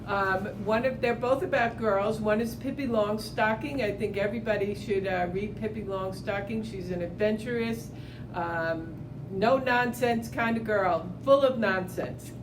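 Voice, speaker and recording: A woman, a children's librarian, informally and enthusiastically recommending favorite books. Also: unhurried. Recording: distant mic, rumbling background noise.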